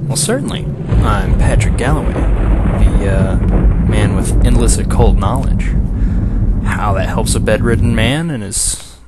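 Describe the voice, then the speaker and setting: A man's voice: lowish voice, unhurried, heavy background noise. A man giving casual, wry and sarcastic commentary while playing a video game. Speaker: let's play commentator. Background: loud game music and sounds.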